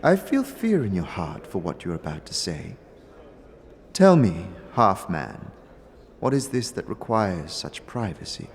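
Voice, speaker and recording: A man's voice: low voice, big pitch swings, measured pace, in a large hall, slight background noise, exaggerated voice. A man voicing a poised, imperious teenage girl prodigy in an audio drama, speaking in a formal, commanding way. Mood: probing, stern.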